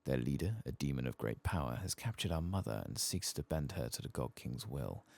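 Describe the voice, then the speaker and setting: Weary game dragon, played by a man, pleading and grave, soft delivery, very low with heavy creak. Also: expressive, a little fast, no room sound, exaggerated voice. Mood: grave, urgent. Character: weary, solemn dragon pleading for its captured brood. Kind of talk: reading game quest text aloud.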